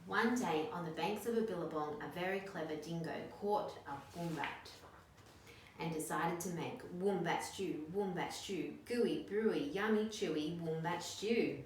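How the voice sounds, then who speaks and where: A woman reading a picture book aloud to children in an expressive storytelling style. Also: fairly low pitch, a little slow. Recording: very echoey room, distant mic, noisy.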